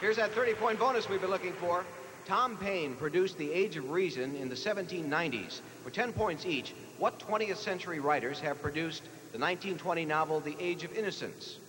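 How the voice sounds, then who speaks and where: An American man hosts a live quiz show with a fairly high-pitched voice. He reads out questions and rulings to players. The sound has long hall reverb and clear background noise. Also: a little fast, a bit bright.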